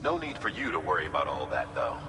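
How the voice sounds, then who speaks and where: An authoritative video game commander with a very low voice. He briefs in a measured, instructive tone with the odd folksy aside. The sound is reverberant and noticeably noisy. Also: big pitch swings. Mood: concerned, steady. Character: steady, authoritative male commander briefing a courier. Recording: echoey reverberant hall, clearly noisy ambience.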